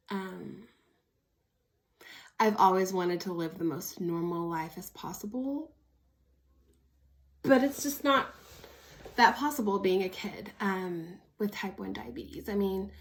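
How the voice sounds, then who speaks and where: Chatty American woman in her early thirties. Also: mid-range voice. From Oklahoma, United States. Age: early 30s. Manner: chatty, candid, informal. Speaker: vlogger. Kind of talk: casual personal storytelling to camera.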